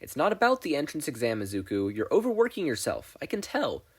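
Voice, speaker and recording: Dude doing a worried mom voice, higher pitched, lively, firm caring scolding, storybook reading. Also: male voice, brisk, husky, full character voice. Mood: concerned, scolding. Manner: scolding, concerned. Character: worried, firm, caring mother of a teenage boy.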